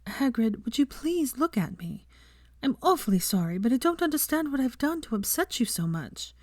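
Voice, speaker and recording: A woman acts out an earnest young woman from a storybook, her pitch lifted well up. She speaks softly and politely, with a nervous, tentative, wounded air. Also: big pitch swings, normal speed, no room sound, studio quality. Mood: pleading.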